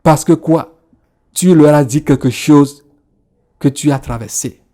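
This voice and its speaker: A man's voice: fairly high pitch, unhurried, mellow. A man, repeating phrases and punctuating them with hallelujah. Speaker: preacher. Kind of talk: sermon.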